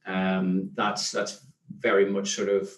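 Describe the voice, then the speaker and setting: Interview guest: Northern Irish man, lowish voice, hesitant, recorded in a roomy space. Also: monotone, takes it slowly, faint music behind. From Northern Ireland. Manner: hesitant, many restarts and ums. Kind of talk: casual interview chat. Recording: echoey room.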